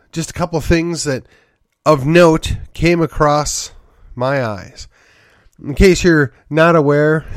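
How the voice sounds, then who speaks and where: A man's voice: medium pitch, dry recording, clean studio sound. Congested man hosting a podcast. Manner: rambling, wry, with sighs and short laughs. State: congested from a sinus infection. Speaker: podcast host. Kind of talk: solo political commentary podcast.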